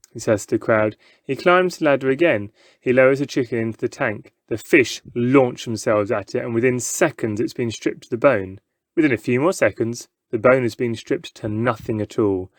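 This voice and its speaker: A man's voice: medium pitch, no room sound. Man reading a storybook, dry close sound. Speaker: reader of a children's novel. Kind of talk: expressive storybook narration.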